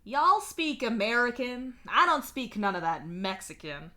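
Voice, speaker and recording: A woman's voice: on the high side, sing-song, unhurried, sharp tone, full character voice. Woman putting on a goofy mock-Texan tourist drawl. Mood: playing dumb. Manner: drawling, folksy, playing dumb. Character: young man faking a goofy, cartoonish American tourist drawl.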